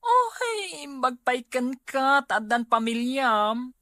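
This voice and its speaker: A woman's voice: high voice, very animated, extremely slow, pushed and bright, no room sound, heavily acted. A woman with a Filipino accent playing a chatty, teasing, exclamatory friend in a radio drama. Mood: playful. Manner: exclamatory, playful banter. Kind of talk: Ilocano radio drama acting.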